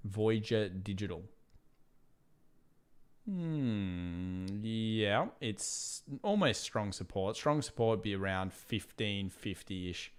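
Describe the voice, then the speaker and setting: Male, Australian accent, fairly low pitch, casual rambling live-stream host, tired worn-out voice. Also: lively intonation, slow, smooth. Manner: casual, rambling, reads viewer questions aloud. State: losing his voice from hours of talking. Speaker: trading channel host. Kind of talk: live stream market analysis.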